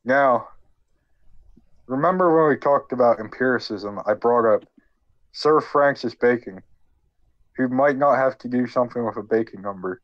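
A man podcast co-host who is sick, explaining history informally in a halting, jokey way. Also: medium pitch, no echo, highs cut off. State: sick with a cold.

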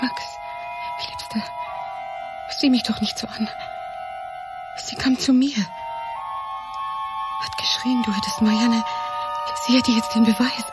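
Anguished high-voiced woman confessing. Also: wildly swooping pitch, loud music behind the voice, full character voice. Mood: anguished, pleading. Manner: emotional, halting, pleading. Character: anguished woman lover confessing under police questioning. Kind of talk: radio crime drama acting.

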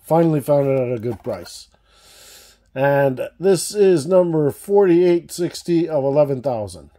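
A man's voice: a little high, animated, unhurried, warm tone. A man hosting a casual unboxing vlog, chatty and enthusiastic, with a slight cough, calling his viewers guys. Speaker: diecast collector channel host. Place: indoor room with shelves of toy cars.

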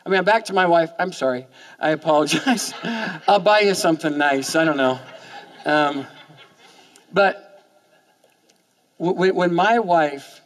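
A man in his forties preaches from a church stage into a headset mic. His voice sits a little high for a man, and he talks in a loose, conversational way, stumbling over repeated words as he starts his sentences. A long reverb from the hall hangs behind him. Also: unhurried, long pauses. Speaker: pastor. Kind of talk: sermon.

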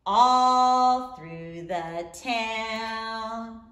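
A woman's voice: on the high side, a bit bright, faint music behind. A middle-aged woman reading a children's picture book aloud in a sing-song way, drawing out her phrases for young listeners. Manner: slow, drawn-out, deliberate phrasing for young children. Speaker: early learning teacher reading aloud. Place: indoor room in front of a display board. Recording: roomy, echoing indoor sound.

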